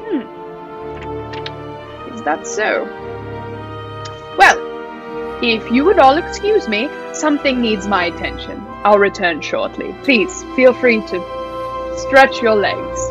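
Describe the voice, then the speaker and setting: A woman playing a haughty, falsely gracious wealthy hostess in a radio play, with affected, drawn-out politeness. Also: a little high, very animated, muffled recording. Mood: coolly dismissive. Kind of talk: theatrical radio play acting. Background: prominent music.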